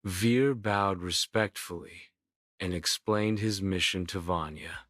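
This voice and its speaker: A man's voice: low-pitched, takes it slowly, a bit bright, no room sound, clean studio sound. A man narrating a scripted children's bedtime story in a solemn, formal storytelling manner.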